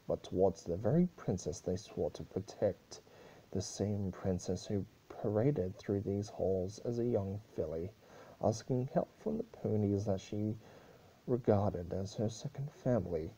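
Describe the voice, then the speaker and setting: A man's voice: sits low, a little slow, warm tone, breathy edge, low-quality recording. A man voicing a stern, grieving pony princess with a grave, accusatory, declamatory delivery in an amateur story reading. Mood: grieving anger. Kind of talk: amateur dramatic reading of fan fiction.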